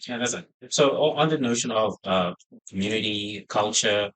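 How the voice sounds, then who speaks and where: A man hosting a podcast interview, asking his questions haltingly with fillers. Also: on the low side, a bit bright, poor audio. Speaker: podcast host.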